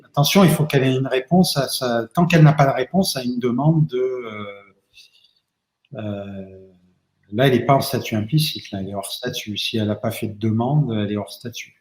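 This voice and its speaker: A man's voice: medium pitch, normal speed, darkish tone. A man, an immigration lawyer, answers audience questions at length, with many fillers and restarts. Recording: roomy echo.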